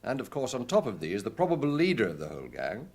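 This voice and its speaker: An actor playing a shrewd, unflappable police inspector in a radio drama, measured, matter-of-fact and assured. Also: male voice, medium pitch, huge pitch swings. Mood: dry, assured.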